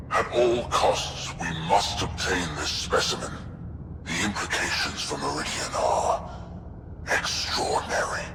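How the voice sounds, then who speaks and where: A man playing a cold, imperious alien overlord in a sci-fi video game, speaking in a measured, grandiose, clinical and menacing way. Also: sits low, very noisy, heavily acted. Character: cold, imperious male alien overlord studying captive specimens. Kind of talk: dramatic villain monologue in a sci-fi video game. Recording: loud music under the voice.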